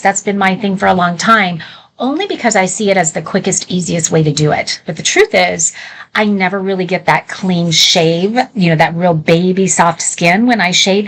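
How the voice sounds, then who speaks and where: Female live shopping host, mid-pitched voice, informal and candid product demo with chatty asides. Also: fairly bright tone.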